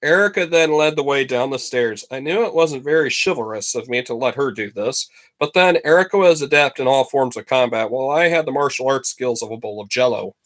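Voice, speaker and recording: A man narrates a storybook like a teacher reading to a class. His delivery is expressive and dramatic, leaning deliberately on key words. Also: medium pitch. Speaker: teacher reading a book aloud to students.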